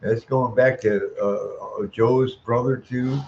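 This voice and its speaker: A man ranting in a profane monologue, ending sentences with "man," chuckling, and stalling on drawn-out "uh" sounds. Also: mid-range voice, steady tone, a little mellow, highs cut off, a little hiss or hum. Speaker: vlogger.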